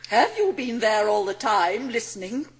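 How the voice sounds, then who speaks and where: A woman playing a cruel, cold-hearted schoolmistress in an audiobook drama, imperious and scornful, with drawn-out emphasis. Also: on the high side, sing-song, husky, exaggerated voice. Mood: angry commanding.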